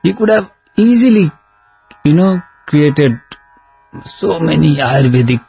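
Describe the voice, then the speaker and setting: A man's voice: a little high, lively intonation, a little slow, creaky, husky. A man whose first language is Nepali, a singer recounting stories in an earnest, devotional interview, now and then singing a line. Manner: earnest storytelling, breaks into sung lines. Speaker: singer and guest. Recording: slightly dull with faint music.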